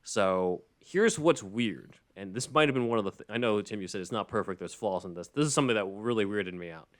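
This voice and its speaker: A man with a fairly low voice hosts a relaxed podcast discussion. He talks conversationally and often chuckles between phrases. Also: fairly quick. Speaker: podcast host. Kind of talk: casual chat with read-aloud summary.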